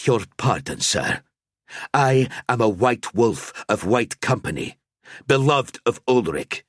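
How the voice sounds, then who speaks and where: A man's voice: medium pitch, animated, slow pace, dry recording, studio quality, pitched above the performer's own voice. Man doing a defiant wolf warrior. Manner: urgent, defiant pleading. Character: fierce male wolf warrior, stubborn and brave.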